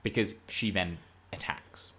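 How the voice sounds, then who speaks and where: A man's voice: deep voice, a little slow, a bit bright. A man co-hosting a podcast, discussing film and TV casually in an emphatic, analytical, argumentative way. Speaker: podcast co-host.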